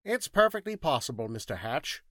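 A man's voice: sits high, very animated, moderate pace, fairly bright tone, dry recording, pitched above the performer's own voice. A man voicing a curt, petulant, exacting scientist detective in an audiobook story, speaking precisely and didactically. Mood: curt, certain.

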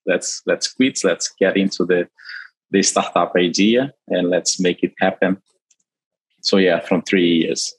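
A man from Brazil with a Brazilian Portuguese accent, a company CEO answering interview questions haltingly with frequent restarts. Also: deep voice, fairly even intonation, breathy edge, no echo, low-quality recording. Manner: halting, many restarts and fillers.

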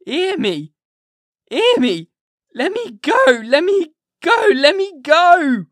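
A reader voicing a frantic male asylum inmate who begs desperately, in drawn-out, imploring cries. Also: very high-pitched, very animated, a bit bright, no echo, clean studio sound, pitched above the performer's own voice, full character voice. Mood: desperate pleading.

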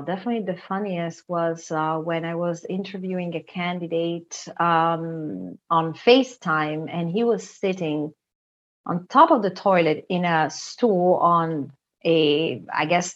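A woman from Italy with an Italian accent, talking conversationally as an interview guest and telling stories. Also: lowish voice. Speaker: guest, international recruitment director. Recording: slightly dull, lo-fi sound.

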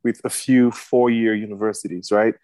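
A young man from Cameroon gives a casual personal account as an interview guest. His voice sits on the low side. The sound is dry, with hardly any room echo. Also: fairly even intonation, normal speed, darkish tone. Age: young adult. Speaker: podcast guest, medical student.

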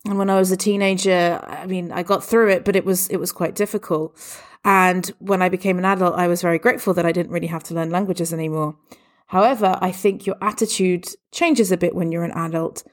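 A woman from Portugal, raised in England, answering questions about learning languages in a fluent, emphatic way. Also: on the low side. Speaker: interviewee. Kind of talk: answering exam questions conversationally.

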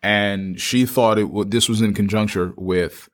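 A man's voice: on the low side, steady tone, a little slow. A man co-hosting a conversational discussion, speaking colloquially with short interjections and repeated phrases. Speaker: co-host.

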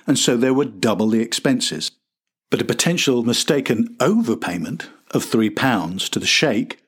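A middle-aged man hosts and narrates from a script in a documentary manner. His mid-pitched voice has a husky, unpolished grain. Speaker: podcast host and narrator.

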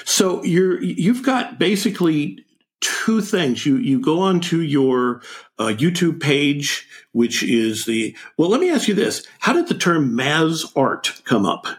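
A man hosting a conversational interview, a bit halting and repeating words as he goes. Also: a little high, sing-song. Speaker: interviewer, host.

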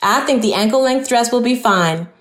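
A woman plays a supportive mother of a bride in an audio drama. Her voice is a little high and admiring, with clear room echo around it. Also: normal speed, fairly bright tone, natural voice. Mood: approving. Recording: echoey room.

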